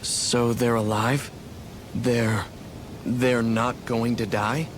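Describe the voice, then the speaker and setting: A man's voice: mid-range voice, flat delivery, drawn-out words, background noise. A man playing a meek, literal-minded freed slave in a video game, answering simply and hesitantly. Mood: uneasy, wounded. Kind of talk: video game cutscene acting.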